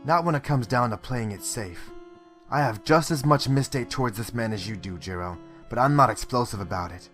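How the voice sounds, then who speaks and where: A man's voice: medium pitch, fairly quick, fairly bright tone, lightly acted. A man playing a restrained, by-the-book police detective in a radio drama, speaking firmly and with control. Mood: exasperated. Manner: firm, controlled, reproachful. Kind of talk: amateur radio drama acting. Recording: roomy, slightly distant, music underneath.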